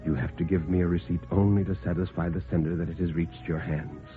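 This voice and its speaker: Man playing a low, hushed, secretive messenger. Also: flat delivery, lightly acted. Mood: measured, insistent. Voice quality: quiet, hushed. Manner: respectful, measured, evasive. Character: quiet, secretive, clerkish male messenger with unsettling reserve. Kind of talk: 1950s radio horror acting. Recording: tinny, narrow-band 1950s radio recording. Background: dramatic background music.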